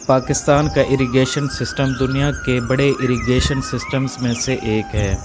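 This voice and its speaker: Guy doing slow vlog narration, gravelly flat voice, music loud behind him. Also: medium pitch. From Pakistan. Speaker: vlogger. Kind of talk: voice-over narration of a village vlog.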